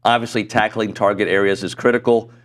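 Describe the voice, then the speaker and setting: A middle-aged man hosting a sports talk show in a studio, chatty and self-deprecating, his voice a little hoarse. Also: fairly low pitch, steady tone, a little fast. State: voice going hoarse. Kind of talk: casual sports talk chat. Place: broadcast studio.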